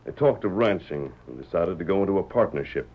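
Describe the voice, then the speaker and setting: An actor playing a calm, commanding western hero who tells a tale in measured, deliberate radio-drama style. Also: male voice, low voice. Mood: grave, steady. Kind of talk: old-time radio drama acting. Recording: dull vintage radio recording.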